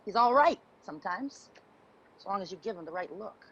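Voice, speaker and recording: A woman's voice: medium pitch, animated, a little fast, fairly bright tone, slight background noise, lightly acted. An actress playing a streetwise, chatty homeless woman in a dramatic reading, heard on dull, muffled audio. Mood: reassuring, casual. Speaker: actor.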